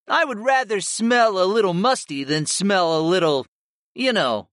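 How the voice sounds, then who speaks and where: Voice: mid-range voice, animated, measured pace, fairly bright tone, no echo, studio quality, voice pushed up. A narrator voicing a male saber-toothed cat monster familiar, whiny and cheeky, drawling and pouting in an audiobook.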